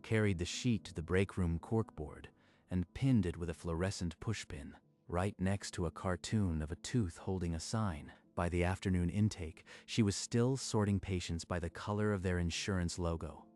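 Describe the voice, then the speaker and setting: Deep-voiced male story narrator. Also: normal speed. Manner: steady, even storytelling.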